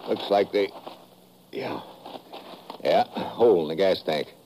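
An actor playing a tough, wry American secret agent in a 1950s radio drama, terse and wisecracking. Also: male voice, low-pitched, takes it slowly, warm tone. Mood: urgent. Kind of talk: 1950s radio drama acting with first-person narration. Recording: noisy old radio drama recording.

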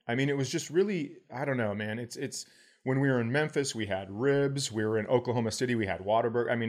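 A middle-aged American man chatting casually and telling stories as a podcast host, in an informal, profane way. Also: medium pitch. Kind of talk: casual chat, storytelling.

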